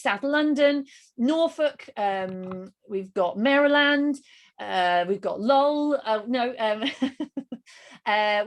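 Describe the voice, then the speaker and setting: A middle-aged woman hosting a live webinar over a video call, enthusiastic and gushing as she rattles off quick lists. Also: mid-range voice. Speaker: webinar host.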